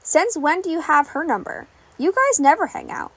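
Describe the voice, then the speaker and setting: A woman's voice: extremely high pitch, expressive, normal speed, no room sound. A woman voicing an anxious, sarcastic teenage boy hero trainee in a story reading. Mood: sarcastic, doubtful. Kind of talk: podfic reading of group-chat messages.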